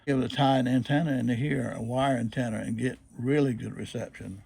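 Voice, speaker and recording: A man with a husky voice gives a casual, unscripted demonstration, explaining things in a plain-spoken, halting way. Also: mid-range voice, steady tone, darkish tone. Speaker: presenter showing a restored old radio.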